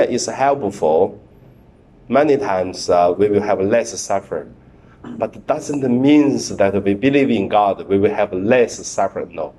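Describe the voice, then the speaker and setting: A man, a priest whose first language is Mandarin, preaching a sermon in halting speech with many fillers and repeated words. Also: fairly low pitch, dark tone.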